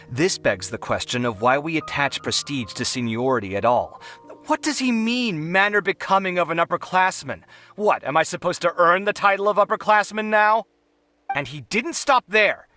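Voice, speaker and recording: Dramatic, high-voiced proud young scholar. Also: male voice. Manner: theatrical, indignant, expressive. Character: proud, dramatic, exasperated young male scholar, easily offended. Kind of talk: anime video game voice acting.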